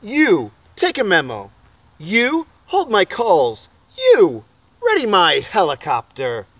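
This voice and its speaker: A man voicing a bossy, boastful little boy from a storybook, proclaiming loudly and emphatically. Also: high-pitched, huge pitch swings, takes it slowly, dry recording, full character voice. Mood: boastful, commanding.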